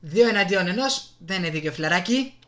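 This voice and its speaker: A man with a Greek accent doing casual live gameplay commentary, excitable, exclaiming and muttering to himself into a desktop gaming microphone. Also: high-pitched. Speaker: gaming YouTuber.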